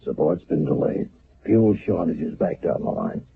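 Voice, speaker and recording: Hesitant army captain, gravelly low voice, lo-fi radio sound. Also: male voice, lively intonation, unhurried, full character voice. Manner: hesitant, with many uh fillers. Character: gruff army engineer captain, brisk. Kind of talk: 1970s radio drama acting. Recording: lo-fi old radio broadcast.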